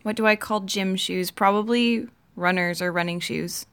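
A woman's voice: medium pitch, moderate pace, a bit bright, smooth. Chatty Canadian woman. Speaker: host of an accent tag video. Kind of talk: casual chat.

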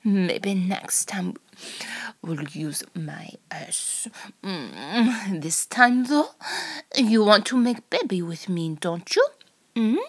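A woman voicing a seductive, threatening Russian female spy in an erotic audio roleplay, with a Russian accent and a breathy, often whispered delivery. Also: medium pitch, expressive. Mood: coaxing, sultry. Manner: slow, teasing, domineering.

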